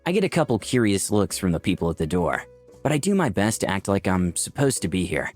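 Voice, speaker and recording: A man's voice: deep voice, sing-song, fairly quick, a little mellow, soft background music. A man reading as a flirty, cocky personification of Lust, narrating a visual novel in a musing, theatrical way. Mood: playful, curious. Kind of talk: first-person visual novel read aloud.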